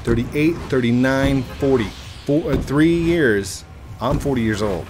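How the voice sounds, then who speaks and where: A man's voice: mid-range voice, unhurried, over music. Chatty man in his thirties, headset. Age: 30s. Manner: rambling, self-mocking, chatty. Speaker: gaming YouTuber. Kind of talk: casual gameplay commentary. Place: home room at a desk.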